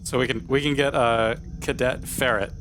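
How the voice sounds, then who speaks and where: A man's voice: medium pitch, steady tone, moderate pace, a bit bright, slight background noise. A man chatting casually as a tabletop game player, with a wry, joking manner. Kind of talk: casual chat.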